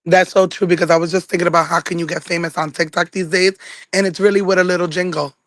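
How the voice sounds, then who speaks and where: A woman's voice: fairly low pitch, level tone, quick pace, no room sound. A woman caller who is losing her voice, chatting affectionately and casually. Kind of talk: casual chat.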